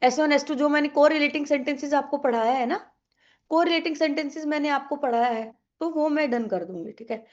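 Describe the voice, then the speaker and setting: A woman's voice: high voice, fairly quick, a little mellow, highs cut off. A young woman teaching an online exam-prep English class with a sore throat, chatty and coaxing, mixing English into her Hindi.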